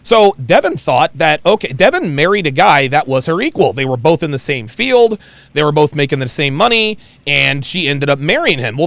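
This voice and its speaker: Male talk-show host, lively and emphatic, gravelly voice, dry close sound. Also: fairly high pitch, no echo. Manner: animated, emphatic, repeats himself. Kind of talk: call-in advice show.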